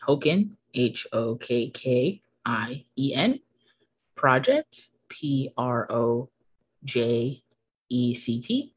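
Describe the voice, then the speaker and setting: A woman's voice: rumbling low, very animated, very slow, breathy edge, poor audio. A young woman guest on a podcast, talking casually and often laughing. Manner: chatty, laughs often, fillers like "like". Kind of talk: casual conversation.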